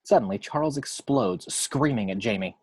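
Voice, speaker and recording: A man's voice: on the low side, animated, normal speed, a little mellow, husky, dry recording. A man narrating stage directions from a script at an amateur table read.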